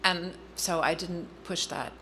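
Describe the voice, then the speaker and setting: Middle-aged woman telling her family story, lowish bright voice, earnest, lots of ums. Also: slight background noise, rough recording. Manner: earnest, halting with frequent ums and restarts. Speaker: guest speaker. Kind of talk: personal story in a public interview.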